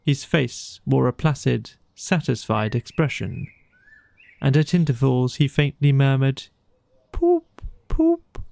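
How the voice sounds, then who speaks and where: A man narrating a bedtime storybook in a measured, expressive storytelling manner. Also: medium pitch, gravelly, no echo. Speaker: audiobook narrator.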